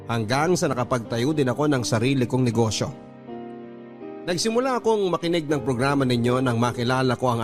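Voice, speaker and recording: A man with a Filipino accent reading a listener's letter as a radio host, in measured, sentimental first-person narration over music. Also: medium pitch. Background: music bed.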